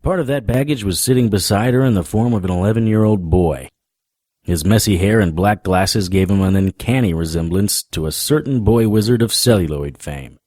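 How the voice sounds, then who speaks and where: A man playing a wry, world-weary supernatural private detective, narrating a noir radio drama in a deadpan, sardonic way. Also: on the low side, moderate pace, dry recording, studio quality, natural voice.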